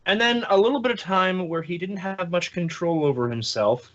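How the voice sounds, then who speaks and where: A man's voice: high voice, normal speed, fairly bright tone, muffled recording. A man co-hosting a podcast in casual fan chat. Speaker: podcast co-host.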